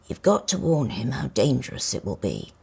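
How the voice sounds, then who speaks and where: A woman voicing an old rusty male toy car in a storybook, grave and wise, croaky, explaining things slowly and ominously. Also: extremely low pitch, wildly swooping pitch, darkish tone, pitched below the performer's own voice, heavily acted. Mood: grave warning.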